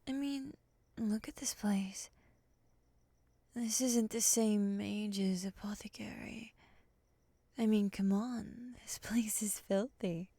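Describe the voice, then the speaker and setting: A woman playing a cool, skeptical moth monster woman, breathy and half-whispered, sardonic and condescending, in an ASMR roleplay. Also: medium pitch, steady tone, takes it slowly, pauses often, dark tone, no room sound. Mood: sardonic, disdainful.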